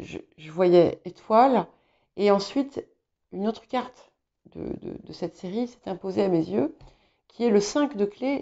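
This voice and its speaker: Female tarot reader, English mother tongue, mellow creaky delivery, halting and reassuring. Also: mid-range voice. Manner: hesitant, searching for words, reassuring. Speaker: tarot reader hosting guidance videos. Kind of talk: informal intuitive tarot reading to viewers. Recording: slightly dull, muffled sound.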